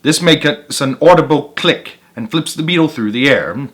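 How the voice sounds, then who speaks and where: A man narrating as a storyteller, reading aloud for children in an animated style. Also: fairly high pitch, moderate pace. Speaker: storyteller, channel host.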